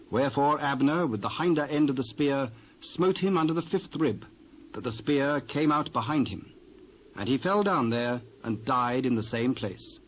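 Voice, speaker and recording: A British man narrating dramatised scripture in a measured, formal storytelling manner. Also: medium pitch. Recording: dull, hissy old cassette transfer.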